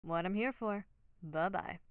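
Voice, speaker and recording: Calm lady detective, smooth, polite, businesslike, tinny phone filter, audio drama. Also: on the low side, measured pace, no echo. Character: calm, courteous woman police detective on the phone. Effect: telephone filter. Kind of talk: naturalistic audio drama acting. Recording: thin, band-limited phone line.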